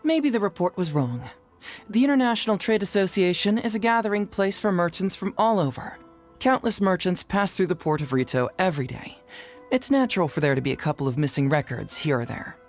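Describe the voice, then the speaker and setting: A man's voice: high-pitched, lively intonation, brisk. A young man playing a clever, playful, self-assured detective in a video game, articulate and teasing. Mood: explaining, confident. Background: game music.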